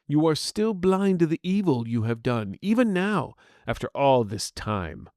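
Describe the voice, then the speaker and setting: A man's voice: fairly high pitch, sing-song, measured pace, a little mellow, no echo, studio quality, voice pushed up. A man voicing an aging heroic swordsman in a fantasy story, earnest, righteous and wary. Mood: indignant. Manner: earnest, emphatic, moralizing.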